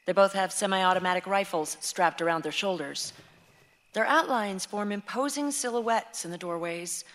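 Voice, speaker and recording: A woman's voice: medium pitch, normal speed, a bit bright, lightly acted. A woman reading stage directions as the narrator of a radio play. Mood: descriptive. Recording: reverberant hall.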